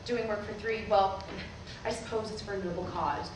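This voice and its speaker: A woman's voice: mid-range voice, steady tone, normal speed, lightly acted. An actress playing a weary, wry mother and preacher's wife in a staged play reading. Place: indoor theatre stage. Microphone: distant camera microphone. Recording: echoey stage recording, far off, noisy.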